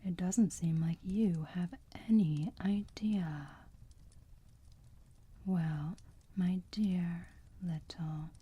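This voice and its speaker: A woman playing a motherly, teasing vampire who soothes a wounded enemy in a slow, breathy whisper, in an ASMR roleplay. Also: on the low side, muffled recording, full character voice. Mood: teasing, soothing. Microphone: close microphone.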